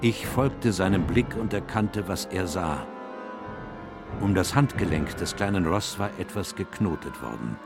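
An actor playing a grave, observant gentleman who narrates a detective radio play in a measured, solemn way. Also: low-pitched, animated, over music. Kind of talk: scripted dramatic narration.

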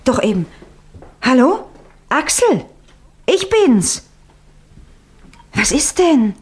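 A woman's voice: sits high, very animated, very slow, husky, exaggerated voice. A woman playing an insistent, worried young fiancée in a 1960s radio drama. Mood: worried, alarmed. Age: young adult.

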